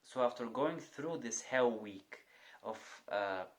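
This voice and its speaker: Young male vlogger, earnest and measured, mid-pitched, very slow, deliberate delivery. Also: animated. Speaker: vlogger and coach. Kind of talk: personal motivational monologue.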